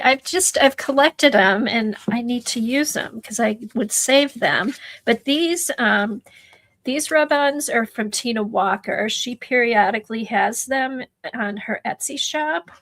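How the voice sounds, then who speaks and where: A middle-aged woman with a soft voice talks slowly to her livestream viewers, her slightly breathy words drifting into murmurs as she works. The sound is close and dry. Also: on the high side, dry recording. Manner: soft-spoken, slow, drifting into murmurs while working. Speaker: art journaling livestream host. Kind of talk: casual livestream chat while crafting.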